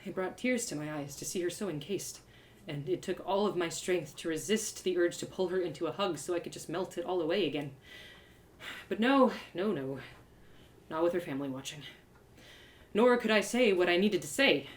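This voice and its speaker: A woman's voice: lowish voice, moderate pace, a little hiss or hum. A woman storyteller giving a dramatic, theatrical first-person narration of a story. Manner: expressive, theatrical. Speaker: storyteller reading own series live.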